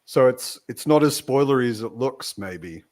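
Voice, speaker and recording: A man's voice: mid-range voice, expressive, moderate pace, darkish tone. Man in a casual book discussion.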